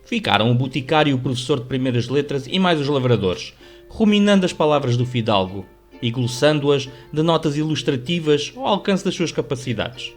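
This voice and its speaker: Male narrator, European Portuguese accent, medium pitch, scripted storytelling for radio drama, faint music bed. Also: expressive, brisk. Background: soft music.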